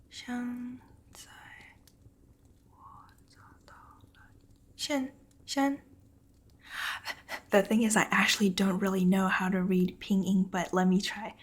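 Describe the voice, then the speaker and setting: A woman from Taiwan, a Mandarin speaker, chatting to her stream viewers in a soft, breathy ASMR whisper, giggly and hesitant with many ums. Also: mid-range voice. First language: Mandarin Chinese. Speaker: VTuber streamer.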